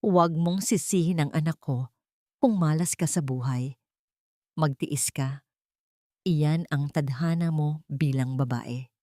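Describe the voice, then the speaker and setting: A woman's voice: sits low, slow pace, long pauses, dark tone, dry recording, clean studio sound. A woman voicing a cold, scornful older mother-in-law who scolds with indifference in an audio drama.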